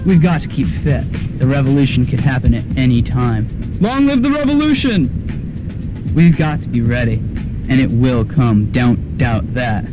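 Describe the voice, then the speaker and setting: A man's voice: medium pitch, huge pitch swings, moderate pace, background noise. A young man playing a solemn, zealous and slightly awkward campus revolutionary in a student radio drama. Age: young adult. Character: self-serious young male campus revolutionary. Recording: dull lo-fi radio with music behind.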